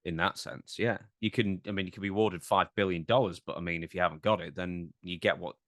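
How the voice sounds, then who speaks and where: A man's voice: low voice, fairly even intonation, quick pace, a bit bright, dry recording, clean studio sound. A man chatting casually as a podcast co-host, steering the topics in a conversational, wry way. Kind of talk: casual chat, guiding topics.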